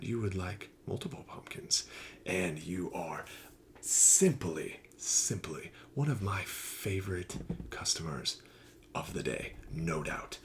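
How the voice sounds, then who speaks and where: A man playing a kindly, courtly pumpkin seller in a wizarding village, soft-spoken and often whispering in an ASMR roleplay, with flowery sales patter. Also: low-pitched, gravelly, a little hiss or hum, exaggerated voice. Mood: delighted.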